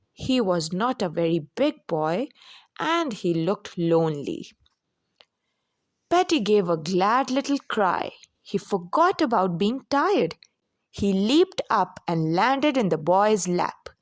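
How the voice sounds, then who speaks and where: A woman reading a children's story aloud as a storyteller, with expressive, deliberate delivery. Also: medium pitch, no room sound, studio quality.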